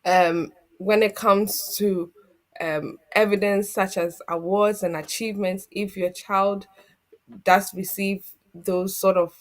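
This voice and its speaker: A woman working as an immigration solicitor, calmly explaining legal requirements step by step on a community TV programme. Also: mid-range voice, slow pace. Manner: steady, methodical, explanatory.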